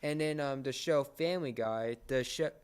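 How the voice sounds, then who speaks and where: A young man co-hosting a casual podcast chat, speaking in a halting, rambling way and often repeating words. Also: medium pitch, clean tone. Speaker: podcast co-host.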